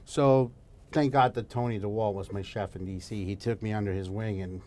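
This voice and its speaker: A man's voice: on the low side, steady tone, normal speed, darkish tone, slight background noise. A middle-aged man from El Salvador, a guest chef talking casually in an interview, chatty and prone to restarting his sentences. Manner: chatty, frequent restarts and fillers.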